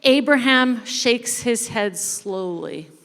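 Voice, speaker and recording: Slow-speaking woman storyteller in a hall. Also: fairly high pitch, lively intonation, fairly bright tone. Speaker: church storyteller. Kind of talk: dramatic narrated reading to a congregation. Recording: echoey hall with long reverb.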